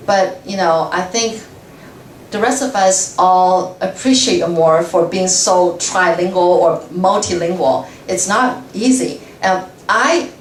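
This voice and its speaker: A woman's voice: mid-range voice, a little hiss or hum. Woman guest speaker, chatty, laughs a lot, echoey room, kinda far from the mic. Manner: chatty, laughs often, many restarts. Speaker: guest speaker, radio journalist. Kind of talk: talk answering audience questions. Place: lecture room.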